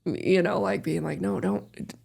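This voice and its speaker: A woman in her thirties with a deep voice, chatting casually and rambling a little as a podcast guest. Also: unhurried, creaky, slightly rough. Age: 30s. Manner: relaxed, rambling, many fillers. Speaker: podcast guest, host's sister. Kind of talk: casual family chat.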